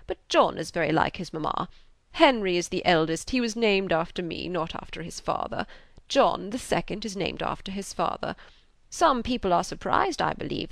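A woman voicing a gentle, fretful, doting old father in an audiobook, plaintive and hesitant, rambling along. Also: medium pitch, vocal fry, no echo, studio quality. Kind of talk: audiobook character reading of a classic novel.

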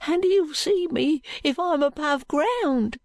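Casting: female narrator playing a wretched, wary Cockney urchin in a storybook, high and breathy, halting and hushed. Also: expressive, no echo, full character voice. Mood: wretched, defensive. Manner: hushed, halting, uneducated speech. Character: frightened, ragged London street boy, wretched and wary.

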